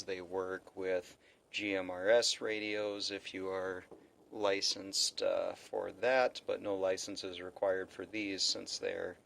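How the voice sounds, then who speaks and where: Low, gravelly man reviewing gear. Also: fairly bright tone. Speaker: product reviewer. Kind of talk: casual, unscripted radio gear review. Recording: faint background noise.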